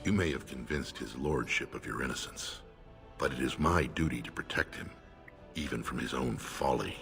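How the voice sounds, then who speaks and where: An actor playing a stern dwarf retainer in a video game, speaking in a formal, measured, dutiful way. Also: male voice, very deep voice, creaky, a bit bright, exaggerated voice. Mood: guarded, formal. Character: stern male dwarf retainer guarding his lord. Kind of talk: video game dialogue acting. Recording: long reverb, music underneath.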